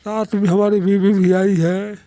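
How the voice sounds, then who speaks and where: A man's voice: high-pitched, level tone, a little slow, warm tone. A man voicing an idle, proud young son-in-law in a moral-story cartoon, complaining in firm statements. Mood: indignant.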